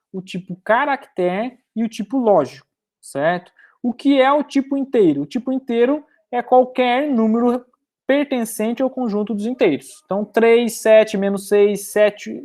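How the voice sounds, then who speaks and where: A woman's voice: fairly high pitch, darkish tone. Woman lecturing, checking in often. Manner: explanatory, checks in often with "certo?". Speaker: university lecturer. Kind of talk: recorded programming lecture.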